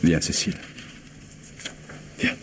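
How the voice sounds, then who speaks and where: A man playing a courteous, uneasy but restrained gentleman under suspicion in a French radio mystery. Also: takes it slowly. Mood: uneasy, subdued.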